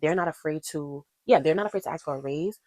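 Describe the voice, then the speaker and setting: A woman's voice: sits low, quick pace, warm tone, no echo, clean studio sound. A woman in her twenties talking casually to her viewers as a vlogger, encouraging and emphatic, repeating her points for stress. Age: 20s. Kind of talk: casual chat to camera.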